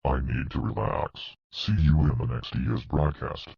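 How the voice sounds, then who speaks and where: A man voicing a menacing, vengeful entity in a gravelly, husky voice. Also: very deep voice, steady tone, measured pace, heavily acted. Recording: dull lo-fi sound.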